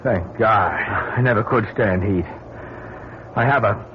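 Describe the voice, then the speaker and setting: A man's voice: fairly low pitch, takes it slowly. A man playing a hot-tempered, blunt space crewman with a slangy, folksy way of talking in an old-time radio drama. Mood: desperate, defiant. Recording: muffled radio recording, clear background noise.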